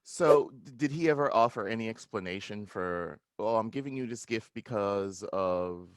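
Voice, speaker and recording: A man hosting an interview, relaxed and chatty, using fillers as he sets up his guest. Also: on the low side, moderate pace, smooth, no echo. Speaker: host and interviewer.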